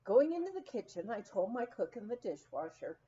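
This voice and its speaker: A woman reading a humorous memoir aloud as scripted narration, in an expressive, storytelling manner. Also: mid-range voice, highs cut off. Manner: expressive, unhurried storytelling with acted quotes. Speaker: audiobook reader.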